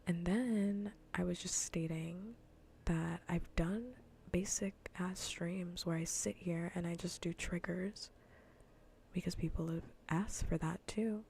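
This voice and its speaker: A woman livestreamer chatting casually in a soft, breathy near-whisper, rambling bluntly and sardonically with plenty of swearing in an ASMR style. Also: lowish voice, steady tone, slow, slight background noise. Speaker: livestreamer hosting an ASMR gaming stream.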